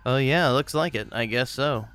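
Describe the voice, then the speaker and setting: A man's voice: medium pitch, moderate pace, a bit bright, smooth, natural voice. A man playing a melodramatic, exasperated starship captain in a space spoof, blustering and stammering when flustered. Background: music.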